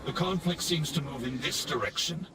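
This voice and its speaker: An actor playing a stoic, world-weary undead knight commander in a fantasy game, giving solemn, formal military orders. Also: male voice, on the high side, normal speed, background noise, lightly acted. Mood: grim, solemn. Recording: game audio under loud battle ambience, distant.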